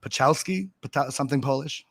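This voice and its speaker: A man's voice: medium pitch, a little fast, fairly bright tone, dry recording. A man talking as a guest in a casual live-stream chat, rambling and self-mocking and laughing often, into a desk mic at home.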